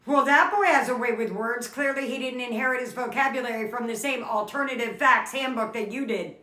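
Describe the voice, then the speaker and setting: Comedy skit: female performer as sardonic, exasperated office coworker, withering and articulate, bright tone, echoey room. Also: fairly high pitch, a little fast. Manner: dry, withering, articulate sarcasm.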